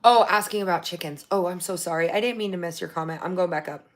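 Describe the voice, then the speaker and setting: A woman hosting a casual livestream from her phone, reading viewer comments, her voice going raspy from talking so long. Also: on the low side, fast. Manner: chatty, excited when thanking viewers for gifts. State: losing her voice, getting raspy. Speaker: livestream host.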